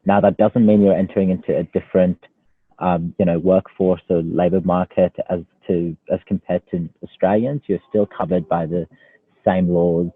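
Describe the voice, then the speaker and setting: Low, husky man on a phone-like line. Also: fairly even intonation, normal speed, no echo. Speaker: student union welfare officer, panelist. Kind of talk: informal explanatory talk. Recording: phone-like narrow call audio.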